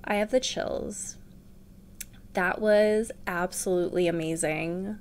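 A young woman streamer hosting a casual game reaction, bubbly and excitable with lots of fillers, at home on a desk mic. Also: on the low side, slow pace, slight background noise.

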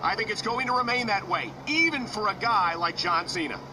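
An actor playing a male wrestling commentator giving measured remarks in a video game. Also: high voice, animated. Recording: noisy game audio.

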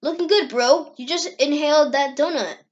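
Woman voicing a high-pitched brash young cartoon hedgehog guy. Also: normal speed, dull audio, lightly acted. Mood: urging. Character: brash young male cartoon hedgehog, worried best friend. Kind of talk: amateur comic dub acting.

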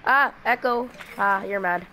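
A woman's voice: medium pitch, measured pace, bright, forceful tone, slight background noise. A young woman chatting casually and teasing a friend while playing a game, laughing often. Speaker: gamer streaming with a friend. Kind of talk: casual gameplay chat.

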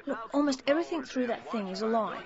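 An actress playing an eager, flustered heavenly case worker in an audio drama, excitable and stubbornly hopeful. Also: female voice, on the high side, fast, close to an everyday voice. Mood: excited. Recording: muffled audio drama mix, music and crowd.

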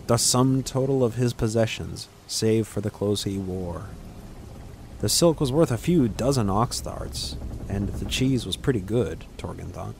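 A man's voice: lowish voice, expressive, a little slow, creaky, darkish tone, slight background noise. A man narrating a scripted fantasy audiobook in a dramatic storytelling style.

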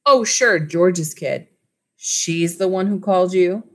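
A woman voicing a gruff, blustering middle-aged male mall owner in a storybook read-aloud. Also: on the low side, slow pace. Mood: brusque, suspicious.

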